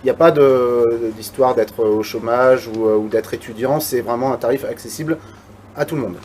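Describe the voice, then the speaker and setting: A man's voice: medium pitch, fairly even intonation, normal speed, a little mellow, a little hiss or hum. A man who organizes the theatre events, explaining things at a press conference in a hesitant, plain way.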